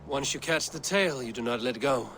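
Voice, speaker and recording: A man playing a steady, blunt Norse warrior in a video game, speaking in terse, deliberate phrases. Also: mid-range voice, noisy background, close to an everyday voice. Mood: firm, measured. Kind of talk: dramatic video game dialogue.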